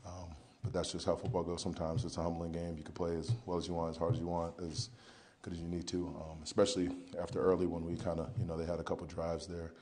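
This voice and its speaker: Very deep, flat-voiced young man. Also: a little hiss or hum. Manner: halting, many ums and you-knows, low-key. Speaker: football player. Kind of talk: post-game press conference answers. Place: press conference room, indoors. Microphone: podium mic in front.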